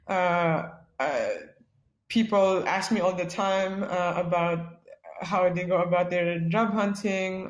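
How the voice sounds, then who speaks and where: A woman from the Philippines, giving a casual webinar talk as a guest speaker, chatty and full of "like" and "yeah" fillers. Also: on the low side. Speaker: guest speaker, product manager. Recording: echoey room.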